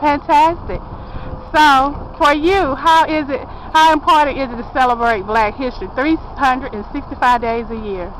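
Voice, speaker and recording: A woman interviewing people outdoors at a public event, asking quick, upbeat, encouraging questions. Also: high-pitched, a little hiss or hum, low-quality recording. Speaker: street interviewer.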